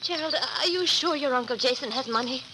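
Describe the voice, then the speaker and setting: An actress playing an anxious, fearful wife, fretful and pleading, in a melodramatic 1940s radio drama. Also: female voice, sits high, brisk, lightly acted. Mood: nervous, pleading. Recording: noisy old 1940s radio recording.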